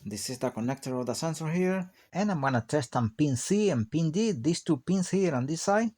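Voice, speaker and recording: A man's voice: a little high, expressive, a little fast, dark tone, a little hiss or hum. A man giving a hands-on auto repair tutorial, explaining each step in a plain, practical way. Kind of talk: hands-on how-to narration.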